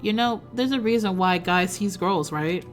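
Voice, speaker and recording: A woman's voice: normal speed, faint music behind, lightly acted. Woman voicing a smug, teasing fairy boy. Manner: low, smug, amused drawl. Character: teasing, smirking young male fairy with wings.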